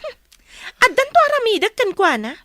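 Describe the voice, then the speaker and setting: An actress with a Filipino accent playing a questioning, insistent young daughter in a radio drama. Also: female voice, very high-pitched, sing-song, a little fast, fairly bright tone, dry recording, exaggerated voice.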